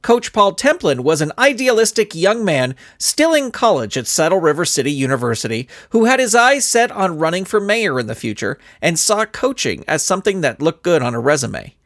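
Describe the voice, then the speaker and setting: Animated male storyteller, highish voice. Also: normal speed, hardly any pauses, no room sound, studio quality. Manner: expressive storytelling. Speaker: author and narrator. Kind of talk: scripted storybook narration.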